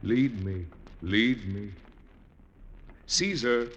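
An actor playing a blind soothsayer, a grim prophet of death, with slow, ominous, chanting delivery in a classic radio drama. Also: male voice, on the low side. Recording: reverberant echoing sound with noise.

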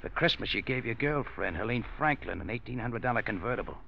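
An American actor playing a ruthless, smug gangster in a 1940s radio crime drama, gravelly, slangy and menacing. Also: male voice, mid-range voice, lively intonation, fast, a little hiss or hum. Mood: sly, menacing. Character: ruthless, smug male gangster making a shakedown. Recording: muffled vintage radio recording.

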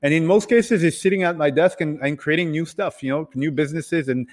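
A man who grew up in Italy and other countries, telling his story casually as an interview guest in a halting, hesitant way. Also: a little high. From Italy and several other countries. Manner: hesitant, halting delivery with repeated words. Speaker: podcast guest, entrepreneur. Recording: reverberant, hall-like room sound.

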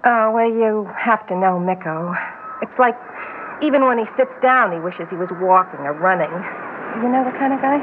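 A woman's voice: medium pitch, expressive, moderate pace, background noise, lightly acted. Woman playing a chatty young waitress, old radio. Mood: weary, confiding. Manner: casual, rambling, forward. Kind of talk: old radio drama acting. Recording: tinny old radio broadcast, music behind.

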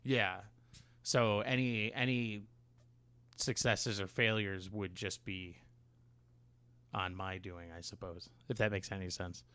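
A man's voice: lowish voice, fairly even intonation, bright, forceful tone, smooth, no echo. A man co-hosting a podcast in casual chat, rambling and interrupting himself as he goes, with casual swearing. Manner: rambling, halting, self-interrupting. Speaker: podcast co-host.

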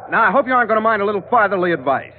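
A man's voice: high-pitched, lively intonation, racing, a little hiss or hum. An actor playing a tough, wry sea captain who narrates his own adventures in hard-boiled 1940s radio style. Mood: wry, companionable. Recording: narrow old radio broadcast recording.

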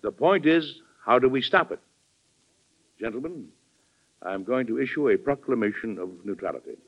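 An actor playing a weary but firm American president in old-time radio drama style. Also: male voice, medium pitch, animated, moderate pace, close to an everyday voice. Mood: stern. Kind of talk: 1940s radio acting. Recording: muffled old radio recording with music.

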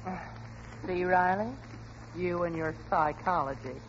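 A woman's voice: on the low side, unhurried, natural voice. An actress playing a practical, long-suffering wife and mother in a 1940s radio sitcom, coaxing and mildly scolding. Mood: reproving. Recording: dull, noisy old radio recording.

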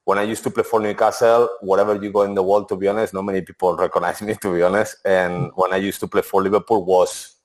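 A man's voice: deep voice, little pitch movement, quick pace, hardly any pauses, low-quality recording. A man in his forties, a former footballer and interview guest, speaking with many restarts and "to be honest". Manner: non-native English, frequent "to be honest" and restarts. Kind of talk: relaxed interview answers.

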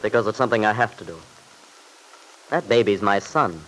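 A man playing an unyielding young father in an old-time radio play. His voice is fairly low and he talks briskly, staying polite and earnest while holding firm. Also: fairly bright tone, lightly acted, rough recording.

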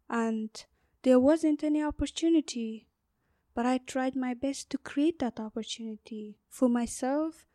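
A young woman from Afghanistan whose first language is Uzbek, answering interview questions. She speaks slowly and haltingly, filling pauses and restarting phrases. Her voice sits a little high, recorded dry and close. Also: warm tone, no echo. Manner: halting, many fillers and restarts. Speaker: guest, activist. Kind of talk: interview conversation.

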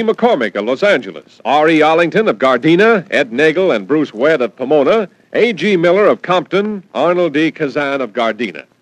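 Male 1940s radio pitchman, animated scripted read, dry recording. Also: no echo, poor audio. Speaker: radio commercial announcer.

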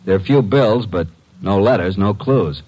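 An actor playing a breezy, wisecracking tough detective's assistant in an old-time radio drama, brisk and slangy in his delivery. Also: fairly low pitch, darkish tone, muffled recording, natural voice. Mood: reporting.

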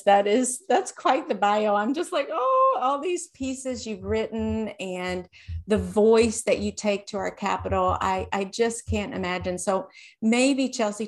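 A woman hosting a podcast, encouraging and effusive as she asks her guest questions. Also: mid-range voice. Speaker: podcast host. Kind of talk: casual interview.

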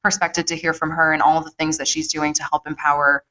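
A woman's voice: low-pitched, little pitch movement, fast talker, bright, forceful tone, low-quality recording. A woman hosting a podcast, chatting enthusiastically and casually, with swearing and frequent filler words. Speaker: podcast host.

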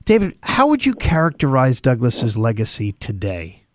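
A man with a mid-pitched voice hosts a radio interview. He delivers scripted introductions and questions in deliberate, presenterly phrases. The sound is close and dry, with no room echo. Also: wildly swooping pitch.

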